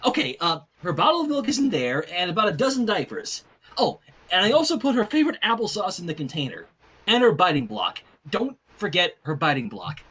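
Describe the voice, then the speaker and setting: A stern, fussy young pony princess, acted out by a man, pitched high with big swoops. She sounds bossy and exasperated, like an anxious caretaker in an animated fan fiction, with a slightly husky edge. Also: brisk, exaggerated voice. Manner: exasperated, bossy, over-careful instructions. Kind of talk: fan fiction character reading.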